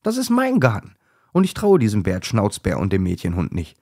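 A man's voice: on the low side, huge pitch swings, fairly quick, darkish tone, no room sound, studio quality, exaggerated voice. A man voicing a grumpy, easily flustered female owl in a children's story. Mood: angry.

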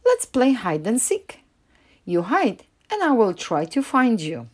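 A woman's voice: fairly high pitch, wildly swooping pitch, measured pace, voice pushed up, exaggerated voice. A woman voicing a cheerful, caring mother duck in a children's storybook, calling out in a sing-song way. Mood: playful.

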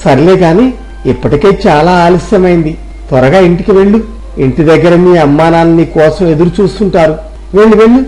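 A man's voice: fairly high pitch, brisk, mellow, close to an everyday voice, rough recording. A man voicing a stern village shop owner in a moral story, with an authoritative, weighty manner. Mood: approving.

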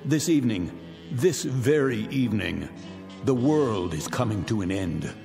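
An actor playing a wealthy, cynical man who calmly announces the end of the world from his bunker, with a smooth, menacing, deliberate delivery. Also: mid-range voice. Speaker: Mr. Raiden, bunker owner. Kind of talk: radio drama acting. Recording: long reverb with music beneath.

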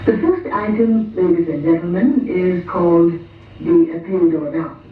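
High-voiced male announcer in a very echoey hall. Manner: formal, measured, presentational. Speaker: announcer at a puppet show. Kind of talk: formal scripted stage introduction. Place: theatre, recorded from the audience over speakers. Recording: very echoey, tinny, distant hall PA with noise.

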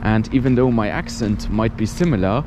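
Travel vlogger, man in his thirties from Luxembourg, low voice, easygoing chat, filmed at arm's length outdoors. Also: normal speed. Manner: relaxed, chatty, frequent "and yeah" fillers. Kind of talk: walking travel vlog narration. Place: outdoors on cold city streets. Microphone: handheld camera at arm's length. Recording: outdoor street noise, traffic.

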